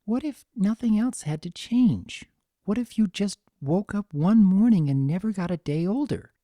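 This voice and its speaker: A reader voicing a gentle, anxious young female pony with a soft, hesitant, earnest manner in a story reading. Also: medium pitch, animated, moderate pace, no echo, studio quality, pitched above the performer's own voice, close to an everyday voice. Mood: earnest, pressing.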